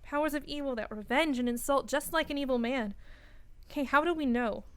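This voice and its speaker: A woman's voice: high-pitched, a little fast, lightly acted. An actress playing an earnest, educated young woman gripped by dread in a radio play, insistent and dramatic. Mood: ominous, fearful.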